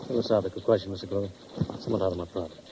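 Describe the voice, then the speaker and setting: A fussy, self-important bank official speaks in stiff, formal phrases at a quick clip. His lowish voice comes through a noisy old radio drama recording. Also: steady tone. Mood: discreet, aloof. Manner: stiff, formal, muttering then over-enunciating. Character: pompous, fussy, discreet male bank official. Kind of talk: 1950s radio acting.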